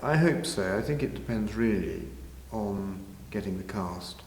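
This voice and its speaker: Interviewee: older man, very low voice, halting and measured, recorded in a reverberant hall. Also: lively intonation, a little mellow. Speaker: interviewee, village pantomime organiser. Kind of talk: informal interview answers. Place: large village hall, indoors. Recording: very echoey hall, faint noise.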